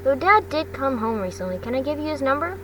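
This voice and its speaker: A woman's voice: sits high, big pitch swings, quick pace. Woman reading as a hesitant teenage schoolboy. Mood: hesitant, polite. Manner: hesitant, earnest. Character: polite, uneasy teenage schoolboy. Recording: distant mic, noisy background hum.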